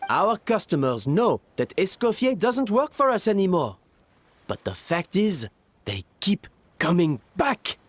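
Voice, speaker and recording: A man's voice: high voice, big pitch swings, unhurried, no room sound, exaggerated voice. An actor playing an earnest, supportive male restaurant worker in a video game, speaking emphatically. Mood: reassuring.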